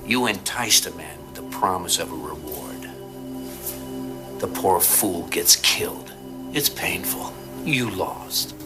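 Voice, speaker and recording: A man's voice: low-pitched, lively intonation, slow, pauses often, music in the background. A man playing a slick, defiant defense attorney in an audio drama, sarcastic and dismissive. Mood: smug.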